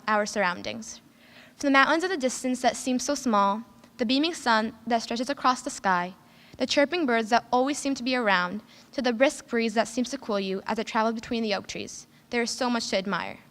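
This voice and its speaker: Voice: medium pitch, pushed and bright. Earnest young student in a reverberant hall. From United States. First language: Lebanese Arabic. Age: early teens. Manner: earnest and careful, with a few restarts. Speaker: student giving a school speech. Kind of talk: prepared school speech to an audience. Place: school hall.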